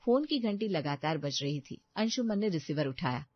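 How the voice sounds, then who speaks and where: A woman's voice: low voice, lively intonation, moderate pace, no room sound, lo-fi sound. A woman narrating a scripted bedtime-style moral story.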